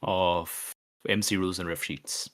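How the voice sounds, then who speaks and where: A man's voice: sits low, flat delivery, measured pace, fairly bright tone, no room sound, studio quality. A man taking part in a tabletop roleplaying game, casually chatting and describing what his character does.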